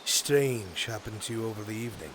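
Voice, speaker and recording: A man's voice: brisk, lightly acted. A man playing a grave, suspicious outsider in improvised roleplay, speaking with brooding, formal deliberation. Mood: businesslike. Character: grave, suspicious newcomer questioning a cursed town's folk. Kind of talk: improvised roleplay in virtual reality. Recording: loud rumbling background noise.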